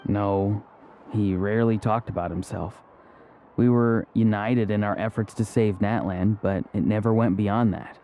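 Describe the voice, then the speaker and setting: Young male game warrior, very mellow, serious, measured delivery, faint background noise. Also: lowish voice, fairly even intonation. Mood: grave, reflective. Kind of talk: English video game character acting.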